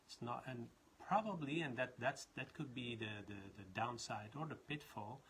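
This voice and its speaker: A man's voice: medium pitch, moderate pace, fairly bright tone, slight background noise. A man from the Netherlands with a Dutch accent, a leadership trainer talking in a loose, rambling way with many restarts. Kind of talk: casual live talk.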